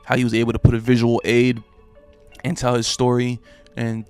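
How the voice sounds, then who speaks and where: Puerto rican guy cohosting, super flat even delivery, chill, faint music. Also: mid-range voice, moderate pace, smooth. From Puerto Rico. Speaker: podcast co-host. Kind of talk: casual music chat.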